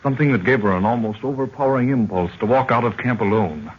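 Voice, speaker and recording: An actor playing an anxious, deferential young expedition assistant in an old radio drama, earnest and urgent. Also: male voice, medium pitch, fast, husky, natural voice. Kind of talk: 1940s radio acting. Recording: muffled, noisy old radio recording.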